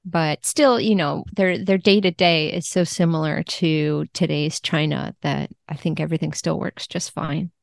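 A woman's voice: sits low, steady tone, normal speed, gravelly, hardly any pauses, no room sound, clean studio sound. A woman speaking as a translator guest on a podcast, discussing a book in an informal, conversational way.

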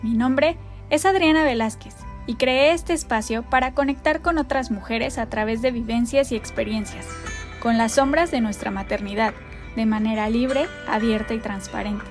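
A woman's voice: a little high, moderate pace, faint music behind. A Mexican woman in her thirties, hosting her own podcast and openly introducing herself in an earnest manner. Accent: Mexican Spanish. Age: 30s. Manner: open, earnest, warm self-introduction. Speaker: podcast host. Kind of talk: personal podcast monologue.

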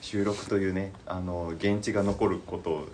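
A man's voice: low-pitched, steady tone, moderate pace, a little mellow. A man, a guest scriptwriter, chatting casually with a group. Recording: clear background ambient noise.

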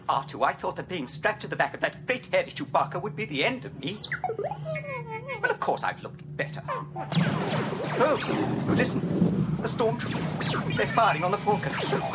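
A prim protocol droid, forever fretting. The voice is very high for a man and swoops animatedly in exclamations. Loud music and effects run behind this space-opera performance. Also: animated, normal speed. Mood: fretful. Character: fussy, anxious male humanoid protocol droid. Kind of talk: dramatic space-opera audio acting.

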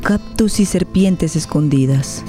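A female narrator with a lowish, very warm and dark voice reads a radio play. Her delivery is dramatic and scripted, over faint music. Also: slow pace.

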